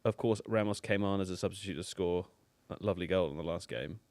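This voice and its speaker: Guy hosting a live football watchalong, chatty and jokey, pretty low voice, dead dry mic. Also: a little mellow, no echo. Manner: chatty, playful, quick to joke. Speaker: host. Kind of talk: live match watchalong chat. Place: studio.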